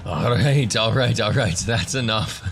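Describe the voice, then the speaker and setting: A man's voice: fairly low pitch, animated, unhurried, creaky, a bit bright, a little hiss or hum, heavily acted. A man voicing a bustling, cheerful professor who acts as master of ceremonies in an audio drama. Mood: flustered.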